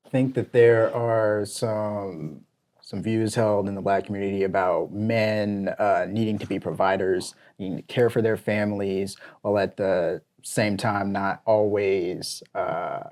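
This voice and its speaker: A man speaking as a guest in a discussion, measured and deliberate, with pauses between thoughts. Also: lowish voice, fairly even intonation, darkish tone. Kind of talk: casual discussion.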